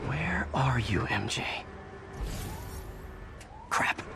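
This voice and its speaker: A man's voice: mid-range voice, lively intonation, drawn-out words, creaky, over loud music, exaggerated voice. An actor playing an earnest young superhero in his twenties, talking in a boyish, conversational way with anxious wisecracks in a video game. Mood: worried. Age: 20s.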